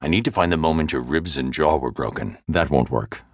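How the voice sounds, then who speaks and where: A man voicing a grim, brooding male vigilante detective in a video game, speaking in a low, grave, clipped and methodical way. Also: fairly quick, dry recording, studio quality. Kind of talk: game voice acting. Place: recording studio. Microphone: close studio mic.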